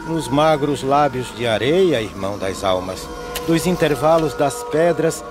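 Animated film role: male actor as resigned rural pallbearer, mid-pitched, solemn verse over clear music. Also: a little slow, lightly acted. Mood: grave, resigned. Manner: solemn, rhythmic, dropping on refrains. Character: grave male peasant pallbearer, resigned, matter-of-fact.

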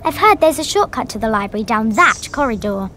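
High, bossy British schoolgirl. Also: big pitch swings, sharp tone, slight background noise. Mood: explaining. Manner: brisk, know-it-all, precise. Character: bossy, bookish young schoolgirl.

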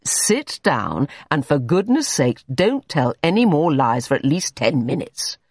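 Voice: medium pitch, sing-song, unhurried, husky, no room sound, clean studio sound, heavily acted. A reader voicing an irritable, domineering male painter in an audiobook story, blunt and scolding. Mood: irritated, critical.

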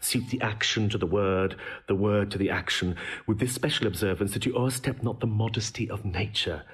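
An actor playing an eloquent, exacting young prince in a classical Shakespearean audio drama, husky and articulately didactic. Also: male voice, lowish voice.

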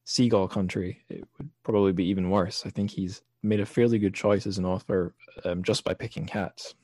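A man's voice: low-pitched, steady tone, fairly quick, darkish tone, no room sound. A man hosting a podcast, discussing books casually and thinking aloud in a hesitant, self-correcting way. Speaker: podcast host.

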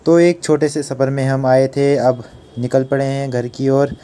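A man's voice: medium pitch, steady tone, unhurried, warm tone. Young man vlogging from a hill road. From Uttarakhand hills, India. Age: young adult. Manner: chatty, addresses viewers directly. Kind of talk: casual travel vlog narration. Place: outdoors on hill roads and in a car. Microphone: handheld phone camera at arm's length.

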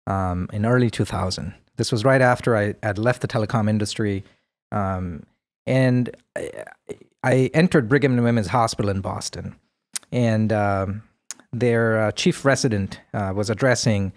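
A man in his forties, born in India, answers interview questions in a measured, thoughtful way, often pausing on fillers. His voice sits low and sounds dry and close on the studio boom mic. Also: no room sound. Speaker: guest. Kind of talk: podcast interview. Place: studio room with plants. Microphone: boom mic with headphones.

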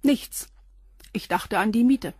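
A woman's voice: a little high, slow pace, darkish tone, breathy edge, no echo, studio quality. An actress playing a reserved, middle-aged female concierge who answers in a guarded, matter-of-fact way in a radio crime drama. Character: reserved, smart female concierge of about forty.

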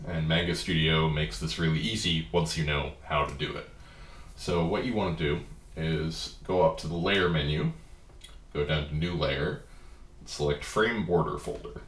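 A man with a stuffy head cold, calmly walking through a drawing program step by step in a tutorial. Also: rumbling low, echoey room, slight background noise. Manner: relaxed, plain step-by-step explaining. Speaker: comic artist giving a software tutorial. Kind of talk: screen-recorded software walkthrough.

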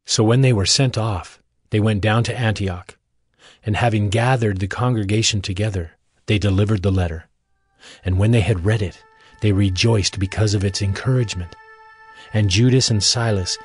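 A man narrating scripture as a scripted audio drama, in a measured, formal manner. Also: fairly low pitch, a little mellow, breathy edge. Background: music.

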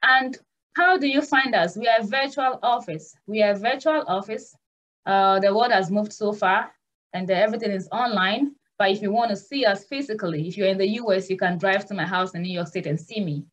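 A woman's voice: medium pitch, brisk, muffled recording. A young woman, a nurse and consultant, hosting a live video-call Q&A at home in an earnest, direct and reassuring way. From Ghana. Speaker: nurse and migration consultant host. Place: small room at home.

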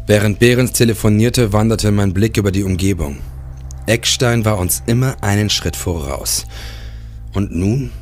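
An actor playing a sober police detective who narrates his case in a clipped, matter-of-fact way for a German crime radio play. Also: low voice.

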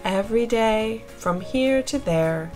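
A woman reads a rhyming picture book to small children. Her delivery is expressive and deliberate, with an animated melody and a slow pace. Soft music plays behind her. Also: fairly high pitch.

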